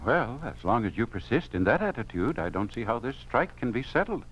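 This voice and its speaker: An actor playing an obtuse official who defends the government line in a 1950s radio drama, formal and protesting. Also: male voice, mid-range voice, big pitch swings, brisk, a bit bright, slightly rough. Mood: placating, reproving. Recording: dull lo-fi 1950s radio recording.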